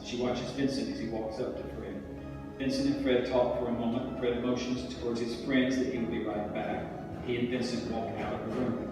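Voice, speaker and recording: A man with a medium-pitched voice gives scripted narration at a table reading. He sounds distant in a strongly reverberant room, with clear music behind him. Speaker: narrator reading stage directions. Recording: echoey hall from far off, music behind.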